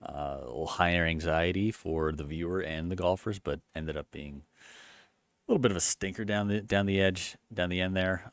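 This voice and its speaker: Low monotone man recapping golf. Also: no room sound. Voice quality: monotone, low-energy delivery. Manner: rambling, many fillers and restarts. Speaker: golf channel host. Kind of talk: casual commentary recap.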